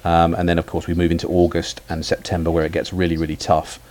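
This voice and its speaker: A man's voice: sits low, completely flat delivery, fast talker, darkish tone. A British man, a history podcaster, chatting as a guest over an internet call, full of restarts and fillers. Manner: hesitant, many restarts and fillers. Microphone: remote call audio.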